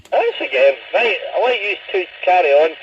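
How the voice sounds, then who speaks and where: A man's voice: high-pitched, very animated, moderate pace. A Scottish man chatting casually as an operator over a CB radio link. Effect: CB radio transmission. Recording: dull, noisy CB radio link.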